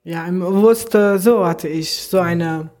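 Slow-talking young Afghan man. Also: high voice, a little mellow. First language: not German. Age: young adult. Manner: slow, halting learner's German with many pauses. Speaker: interviewee. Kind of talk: halting interview answers.

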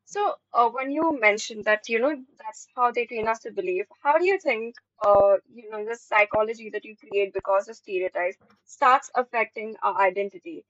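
A woman's voice: on the high side, moderate pace, hardly any pauses, no room sound. A woman with an Indian accent hosting a conversational interview as a podcaster. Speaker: podcast host. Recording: dull, lo-fi close dry audio.

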